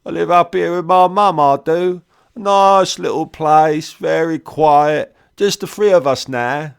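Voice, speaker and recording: A man's voice: on the high side, measured pace, gravelly, clear, resonant tone, pitched above the performer's own voice. A man doing a sly cockney whiskey trader. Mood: chummy. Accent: London cockney. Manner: chummy drawl, calls everyone mate.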